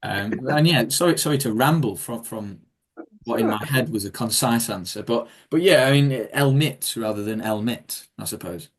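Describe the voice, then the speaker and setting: A man's voice: mid-range voice, expressive, moderate pace. A man, a history speaker, answering audience questions in a rambling way with many ums and restarts over a video call. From England. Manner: rambling, self-correcting, with frequent ums and restarts. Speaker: guest speaker on Viking history. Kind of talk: Q&A after a talk.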